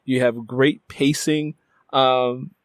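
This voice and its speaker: A man's voice: mid-range voice, steady tone, takes it slowly, no room sound. Male interview host with a dry, close sound. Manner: discursive, builds up to questions. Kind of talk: conversational interview.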